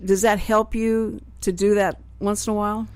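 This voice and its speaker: A woman hosts a live radio talk show in a lively, chatty way, often jumping in over her guest. Her voice sits at a middle pitch. The studio sound is dry and close. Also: normal speed, clean tone, dry recording. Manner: chatty, animated, jumps in often. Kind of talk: casual live radio chat.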